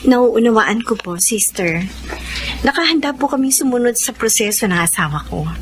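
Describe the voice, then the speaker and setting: A woman's voice: fairly high pitch, lively intonation, normal speed, a little hiss or hum, natural voice, rough recording. A woman playing a polite, earnest wife in a Filipino radio drama, speaking respectfully. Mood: grateful, earnest.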